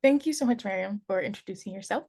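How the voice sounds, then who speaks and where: A woman's voice: medium pitch, expressive, fast, mellow, slightly rough, no echo, studio quality. A young woman moderating a webinar over a video call, friendly and hesitant with many ums.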